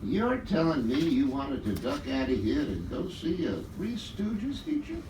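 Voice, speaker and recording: A man's voice: a little high, huge pitch swings, unhurried. A man playing a wisecracking, pushy private eye in a noir radio play, with slangy tough-guy delivery. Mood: pushy, sarcastic. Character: wisecracking hard-boiled male private eye, flirty and pushy. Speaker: actor. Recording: echoey, noisy, distant rehearsal recording.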